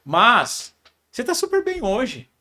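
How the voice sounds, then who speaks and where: A man's voice: very high-pitched, huge pitch swings, takes it slowly, pushed and bright. A man hosting a casual podcast conversation, chatty and prone to reflective side comments. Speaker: podcast host.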